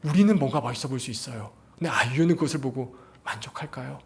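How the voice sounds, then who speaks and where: A man's voice: mid-range voice, fast. A man preaching a sermon as a pastor, using rhetorical questions and repeating phrases for emphasis. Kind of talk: sermon to a congregation.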